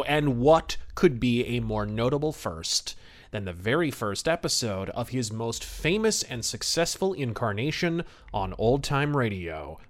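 A man hosting a podcast, giving film review and history commentary in a conversational, opinionated, wryly amused way. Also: mid-range voice. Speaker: podcast host.

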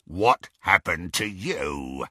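A man voicing a savage male werewolf villain in an audiobook, rasping and growling, gloating slowly and leering with menace. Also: a bass voice, lively intonation, pushed and bright, dry recording, clean studio sound, pitched below the performer's own voice, exaggerated voice. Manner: slow, savouring, snarling.

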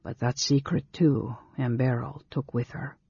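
A man voicing a gentle, grave male companion in a storybook, speaking softly in a calm, consoling way. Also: vocal fry, slightly rough, no echo, heavily acted. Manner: soft, low, measured. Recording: dull lo-fi recording, very dry.

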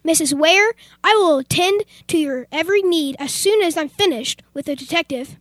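A woman's voice: very high-pitched, moderate pace, no echo, studio quality. A young woman playing a fussy, easily irritated head of a household in a comic radio play, speaking formally. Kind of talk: comic old-time radio theater acting.

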